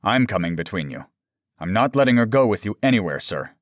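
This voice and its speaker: A wary male protector in an audiobook thriller, acted out by a man. He is firm and defiant, with lively inflection in a dry, close recording. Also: lowish voice, normal speed, no echo. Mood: firm defiance.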